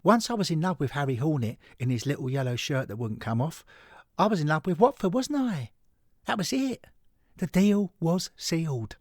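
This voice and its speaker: Man voicing a chatty young fan, very lively pitch. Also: moderate pace, dry recording, clean studio sound. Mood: fond, chatty. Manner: breezy, familiar, tag questions. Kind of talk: scripted audio story monologue.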